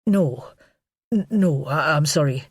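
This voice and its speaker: Woman reader playing anxious man who stammers; dry close read, lowish. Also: expressive, slow, husky, dry recording, heavily acted. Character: nervous stammering middle-aged man. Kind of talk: audiobook character voice.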